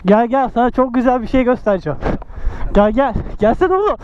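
A man's voice: very high voice, animated, brisk. Joking young man on a windy beach. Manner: joking, bossy, swears freely, laughs often. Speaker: motovlogger. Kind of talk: casual chat with friends. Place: open beach at dawn. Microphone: action camera worn on the body. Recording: dull lo-fi action-cam audio with loud wind noise.

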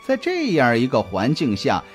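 An audiobook narrator tells a scripted suspense story. The delivery is highly varied in pitch, at a medium register, with light music underneath. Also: huge pitch swings, moderate pace. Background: background music.

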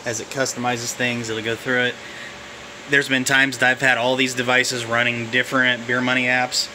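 A man's voice: mid-range voice, noisy background. Man touring his cluttered home office. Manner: relaxed, halting, many fillers. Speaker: vlogger showing his phone farm. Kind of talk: casual off-the-cuff walkthrough. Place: cluttered home office full of phones and computers. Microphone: handheld camera mic, filming while walking around.

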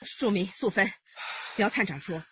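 A woman's voice: medium pitch, normal speed, slight background noise, natural voice. An actress playing an anxious but steely elderly grandmother in a radio drama, bossy and scolding. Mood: firm. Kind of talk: Chinese radio drama acting. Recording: dull radio-drama recording.

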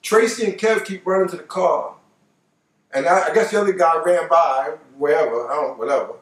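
An older American man with a high voice, spinning a tale in loose, colloquial speech. He repeats phrases to hammer them home. He sounds set back from the microphone in a roomy space. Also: fairly quick, fairly bright tone, distant mic. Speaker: storyteller. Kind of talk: animated personal storytelling, casual. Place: living room couch, indoors.

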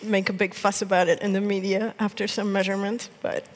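A woman's voice: medium pitch, little pitch movement, fairly quick. A woman speaking as a panelist, modest in her answers and laughing between phrases. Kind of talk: conference panel talk. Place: large conference stage. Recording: reverberant hall.

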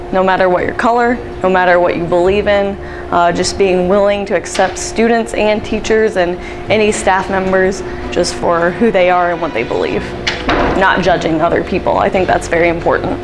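A woman's voice: medium pitch, normal speed, music in the background, rough recording. A woman business teacher giving sincere interview answers indoors. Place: room with brick wall, indoors.

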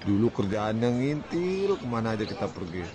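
A man's voice: on the low side, very animated, moderate pace, background noise. An actor playing an easygoing young man chatting with his childhood friend in a radio drama, using casual slang.

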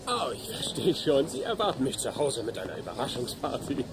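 An actor playing a self-important, excitable young astronaut husband in a dubbed sitcom, with a theatrical manner. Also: male voice, medium pitch, brisk, noisy background. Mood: smug affection. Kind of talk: German-dubbed sitcom acting.

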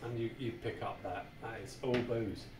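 A man's voice: medium pitch, steady tone, moderate pace. A man with a British accent hosting a casual, jokey beer review and often repeating himself. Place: small home bar room. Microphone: camera a short distance away. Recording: roomy, distant mic with background noise.

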